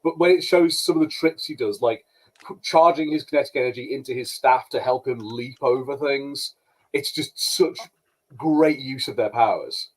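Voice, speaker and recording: Mid-pitched man chatting casually. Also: moderate pace, rough recording. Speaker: podcast co-host. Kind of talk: casual fan discussion.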